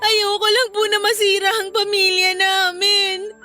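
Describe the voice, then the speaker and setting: A woman's voice: very high voice, monotone, unhurried, over loud music. A woman playing a distraught, forgiving young Filipino wife who pleads tearfully in a melodrama. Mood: pleading, sad.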